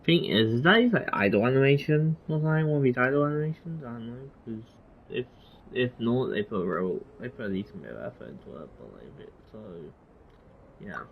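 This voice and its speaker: A game streamer reading dialogue aloud and commenting in a halting, offhand, casual way. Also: mid-range voice, expressive, phone-quality sound, a little hiss or hum.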